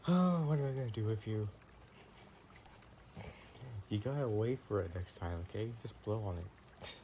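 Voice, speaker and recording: A man playing an affectionate, playful vampire boyfriend in an intimate roleplay audio, chatting in a gentle, teasing way. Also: fairly low pitch, lively intonation, moderate pace, a little hiss or hum, natural voice. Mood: tender, caring.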